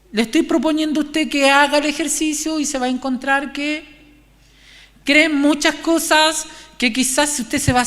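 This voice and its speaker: Man, Chilean accent, conversational preacher, halting repeated phrases, reverberant hall recording. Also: very high-pitched, fairly bright tone. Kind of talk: sermon, informal and conversational with anecdotes. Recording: echoey hall, long reverb.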